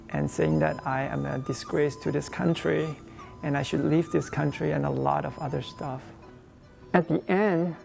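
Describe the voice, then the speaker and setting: A man with a Malaysian accent, speaking English as a second language, talks personally and without a script, haltingly searching for words. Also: fairly high pitch, vocal fry, darkish tone. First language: not English. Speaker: visual artist interviewed for a TV profile. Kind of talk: unscripted personal storytelling and explanation of own work.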